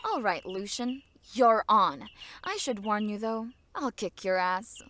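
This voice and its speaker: Woman playing a bright, feisty big sister. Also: mid-range voice, very animated, a little slow, a little hiss or hum. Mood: cocky challenge. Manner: brash, commanding, teasing. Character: feisty, competitive, bossy young elder sister. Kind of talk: audio drama character acting.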